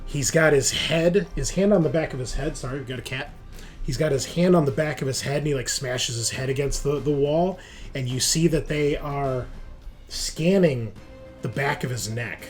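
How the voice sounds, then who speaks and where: A man runs a tabletop roleplaying game in a medium-pitched voice. He narrates casually and improvises as he goes, slipping in informal asides. Faint music plays behind him. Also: normal speed. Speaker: game master. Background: background music.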